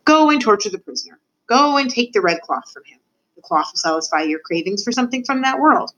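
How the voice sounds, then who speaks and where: A woman's voice: fairly high pitch, animated, a little fast, fairly bright tone, lo-fi sound, pitched above the performer's own voice. A woman voicing a cynical, cruel older male rat who lectures theatrically in a storybook. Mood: scornful. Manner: theatrical, lecturing, emphatic with repetitions.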